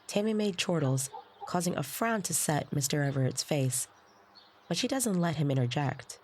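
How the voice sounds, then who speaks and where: An actress playing an earnest young trainee, narrating her story in the first person in a measured, descriptive way. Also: deep voice, expressive. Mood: wry.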